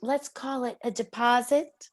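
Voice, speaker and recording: Actress as a sultry damsel in distress, high voice, slow drawn-out lines, theatrical, retro radio play. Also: female voice, steady tone, slight background noise, lightly acted. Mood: flirty, grateful. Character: sultry, melodramatic nightclub dame, flirtatious damsel in distress. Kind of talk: campy 1940s radio noir acting. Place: live community theatre stage performance.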